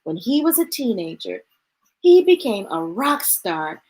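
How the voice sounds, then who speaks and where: A woman's voice: medium pitch, big pitch swings, measured pace, a little mellow. A woman reading picture books aloud to children, breaking off for chatty asides to viewers. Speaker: children's storytime reader.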